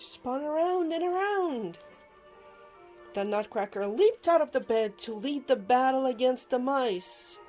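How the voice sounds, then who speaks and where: A woman narrating a children's storybook aloud with expressive, dramatic emphasis, over music. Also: medium pitch. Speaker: storybook reader. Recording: music behind the voice.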